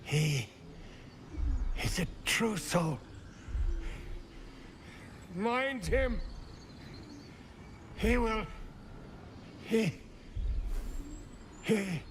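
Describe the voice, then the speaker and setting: A man's voice: high-pitched, lively intonation, very slow, pauses often, fairly bright tone, a little hiss or hum, heavily acted. A man playing a dying cult leader in a fantasy game, insistent but strained, gasping out broken phrases. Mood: dying insistence.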